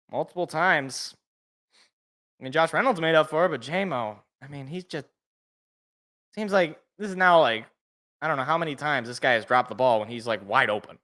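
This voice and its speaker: A young man calling a football game play-by-play from a sports podcast, casual, with a slightly rough, sniffly voice from allergies. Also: a little high. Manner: excited calls, casual asides. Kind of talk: live play-by-play commentary.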